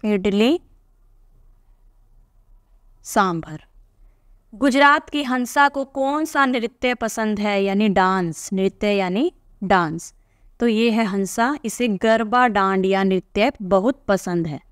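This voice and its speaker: A woman's voice: mid-range voice, slow pace, pauses often, smooth, dry recording, studio quality. Woman teacher explaining a textbook lesson. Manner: explains patiently, reads aloud then repeats answers.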